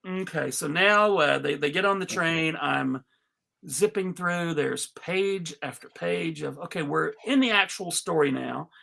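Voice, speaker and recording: A man presenting an informal live script reading, halting and amused, with frequent asides. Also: fairly high pitch, gravelly, pushed and bright. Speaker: panel reader and presenter.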